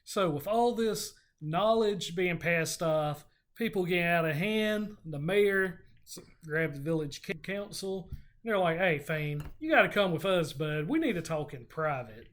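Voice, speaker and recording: A co-host casually recapping and discussing a book, telling the story in an informal, slightly halting way. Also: mid-range voice, animated. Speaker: podcast co-host.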